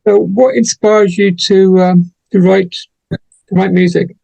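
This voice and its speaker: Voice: mid-range voice, fairly even intonation, a little slow, creaky, dark tone, dry recording, rough recording. A radio interview host asking plain, formulaic questions.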